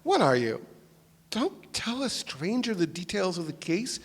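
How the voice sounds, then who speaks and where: Exasperated, higher-voiced no-nonsense man. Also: very animated, unhurried, breathy edge, heavily acted. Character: exasperated, no-nonsense driver on investigation business. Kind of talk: live staged play reading. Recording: echoey theatre hall, live stage.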